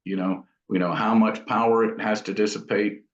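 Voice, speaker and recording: Low-voiced man, echoey lo-fi interview chat. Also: fairly even intonation. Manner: thoughtful and halting, explains technical detail informally. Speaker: amp builder and company founder being interviewed. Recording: dull lo-fi sound in an echoey room.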